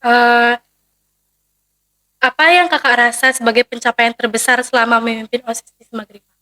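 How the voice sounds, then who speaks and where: A woman's voice: fairly high pitch, fairly even intonation, moderate pace, sharp tone. A teenage girl hosting a school podcast, asking questions and echoing the guest's answers back. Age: teens. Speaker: student podcast host. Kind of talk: casual interview.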